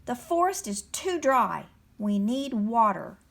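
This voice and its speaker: A woman voicing an alarmed, insistent male hawk in a storybook, speaking gravely and emphatically. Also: a little high, very animated, slow, full character voice.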